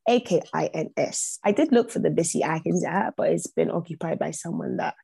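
A woman's voice: fairly low pitch, brisk, vocal fry, warm tone, slightly rough, dry recording. A young woman, a podcast guest whose first language is not English, speaking earnestly and repeating phrases for emphasis. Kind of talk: reflective podcast conversation.

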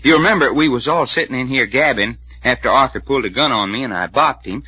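An actor playing a folksy, excitable adventurer sidekick, telling things colloquially in an old radio serial. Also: male voice, mid-range voice, fast talker, slightly rough, dry recording. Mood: animated storytelling. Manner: colloquial, drawling storyteller. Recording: dull, dry old radio recording.

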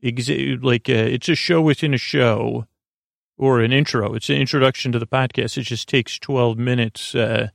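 A man with a creaky, gravelly voice hosting a sleep podcast, rambling in a lulling, halting, meandering bedtime monologue. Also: mid-range voice, steady tone, no echo, studio quality. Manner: lulling, soothing, halting with tangents.

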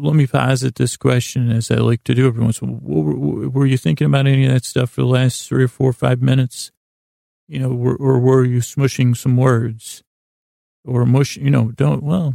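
Very creaky, mellow man rambling soothingly. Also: medium pitch, no room sound, clean studio sound. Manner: meandering, halting, repeating and trailing off; lulling, soothing tones. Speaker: podcast host. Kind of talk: rambling sleep-podcast monologue.

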